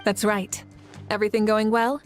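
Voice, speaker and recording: A woman's voice: mid-range voice, level tone, soft background music, close to an everyday voice. Actress as a composed, dignified young woman leader. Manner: measured, polite. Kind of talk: anime game voice acting.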